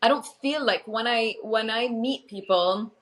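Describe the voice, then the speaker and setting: A woman co-hosting a casual podcast from home over a video call, with a light American-tinged accent, chatty and quick to laugh. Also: fairly high pitch, fairly bright tone, rough recording. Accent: light American-tinged English. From Lebanon. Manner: chatty, fillers and restarts, laughs often. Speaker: podcast co-host. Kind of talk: casual chat.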